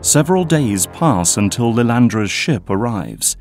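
A man giving scripted narration in a plain, steady manner for an audio drama. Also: medium pitch, a little slow, faint music behind.

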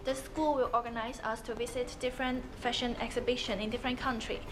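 Woman, twenties, highish voice, scripted promo, noisy room. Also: flat delivery, fast. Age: 20s. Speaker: student presenter. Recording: clearly noisy room ambience.

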